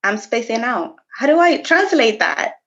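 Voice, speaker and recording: Animated woman chatting, slightly muffled. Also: a little high, moderate pace. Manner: animated, laughs often, code-switches briefly. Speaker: podcast co-host. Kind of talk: casual conversation.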